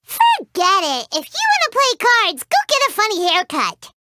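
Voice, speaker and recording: This is a tiny, chirpy floating companion sprite for a video game. The voice sits very high and sparkles with brightness, leaping around with lively swings of pitch. She chatters bubbly and nosy, calling herself by her own name. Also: moderate pace, slight background noise, full character voice. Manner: bubbly, talks about herself in third person.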